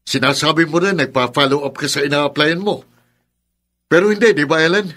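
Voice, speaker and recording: Protective filipino older brother, youngish, kinda high voice, firm and insistent, radio drama. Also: male voice, fairly quick, fairly bright tone. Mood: indignant, confronting. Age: young adult. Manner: insistent, questioning, emphatic. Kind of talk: Tagalog radio drama acting.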